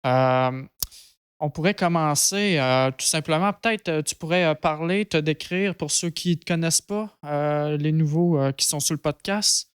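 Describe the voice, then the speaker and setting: Man, podcast host, a bit high and clear, dry close mic. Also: a little slow, no echo. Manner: relaxed, many fillers and hesitations. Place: studio.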